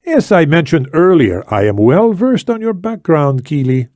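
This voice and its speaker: A man acts out an older Spanish ex-intelligence officer in a thriller audiobook. He is shrewd, commanding and formal, with deliberate, emphatic phrasing that swings widely in pitch. The sound is close and dry. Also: very animated, warm tone, no room sound. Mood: firm, controlled. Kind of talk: acted audiobook dialogue.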